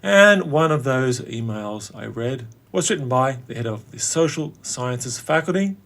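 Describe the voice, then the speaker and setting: A man in his late forties narrating his own story as a host, in a wry, theatrical way with dramatic pauses. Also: mid-range voice, lively intonation, gravelly, fairly bright tone. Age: late 40s. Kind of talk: scripted personal narration.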